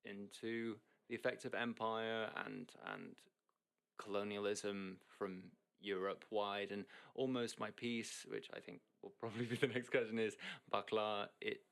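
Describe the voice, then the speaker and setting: Gravelly low-voiced young man. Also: fairly even intonation, a little slow, fairly bright tone. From Hong Kong. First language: English. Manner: rambling, many fillers and restarts. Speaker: guest. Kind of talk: casual interview chat.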